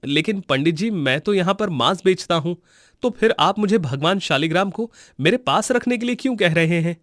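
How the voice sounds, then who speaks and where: Humble butcher, earnest, emotional, reverent, high-pitched voice for an animated moral tale. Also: male voice, normal speed, no echo, clean studio sound. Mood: puzzled. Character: humble, emotional butcher devoted to his god.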